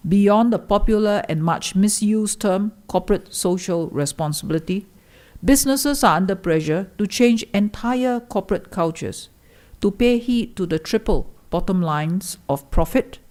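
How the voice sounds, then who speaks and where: A woman giving a formal welcome speech as host of a forum, in a measured, declamatory way. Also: mid-range voice, animated, a little mellow.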